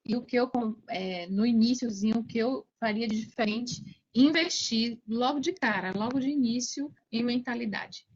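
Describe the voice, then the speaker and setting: Brazilian woman, slightly high voice, muffled audio. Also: moderate pace, breathy edge. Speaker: interview guest, digital entrepreneur. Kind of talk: casual motivational advice. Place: remote video call.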